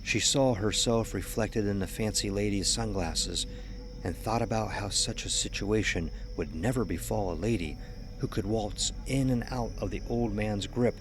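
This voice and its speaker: A man narrating a scripted horror story in a measured, ominous, dramatic storytelling manner. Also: on the low side. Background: music under the voice.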